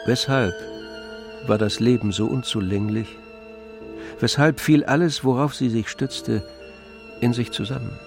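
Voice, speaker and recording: An actor playing the all-knowing narrator of a literary tragedy in a radio drama, telling it in a measured, expressive way. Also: male voice, medium pitch, music in the background, lightly acted. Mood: grave.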